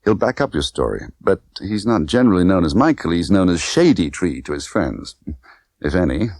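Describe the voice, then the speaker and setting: A man's voice: sits low, sing-song, moderate pace, darkish tone, no room sound, clean studio sound, exaggerated voice. A man voicing a tough, condescending young woman smuggler who talks in clipped, bossy slang. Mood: brisk, commanding.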